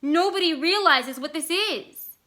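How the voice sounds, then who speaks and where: A panicked, helpless father as a woman storyteller acts him out. The voice jumps up high and turns bright and forceful, strained with urgency. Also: unhurried, full character voice. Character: panicked father, grown man, tense and helpless.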